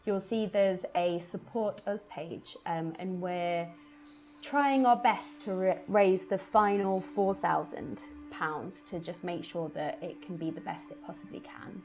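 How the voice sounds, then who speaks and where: A woman hosting a conversation as a theatre producer, addressing listeners in an informal, softly hedged promotional chat. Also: lowish voice.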